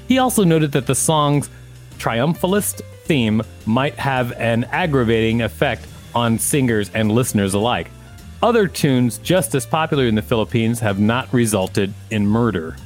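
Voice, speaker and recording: A man's voice: medium pitch, animated, moderate pace. Male podcast co-host, music underneath. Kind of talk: reading an article aloud with casual asides.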